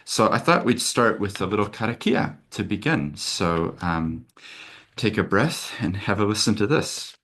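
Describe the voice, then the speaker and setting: A man's voice: lowish voice, lively intonation, a little slow, fairly bright tone. A middle-aged man from America, a lawyer hosting a webinar over a video call, talking in an earnest, open, conversational way.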